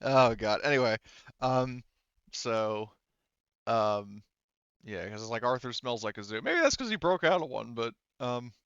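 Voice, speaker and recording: A man's voice: medium pitch, animated, unhurried, fairly bright tone, smooth, no room sound, muffled recording. A man working as a game master, improvising narration and banter for a tabletop game in a jokey way, feeling out his phrasing as he goes.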